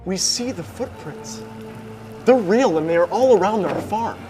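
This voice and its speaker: An actor playing a frightened farmer in a horror film, speaking in a halting, fearful, drawn-out way. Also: male voice, high voice, animated, over music. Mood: fearful, urgent. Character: frightened farmer haunted by strange flying creatures. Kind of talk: dramatic horror film acting.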